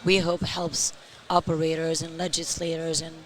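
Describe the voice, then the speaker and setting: Hoarse, slow-talking woman. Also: low-pitched, completely flat delivery, poor audio. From Europe. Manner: speaks slowly and measured. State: hoarse, tired voice. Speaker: interview guest, company founder. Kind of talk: casual interview.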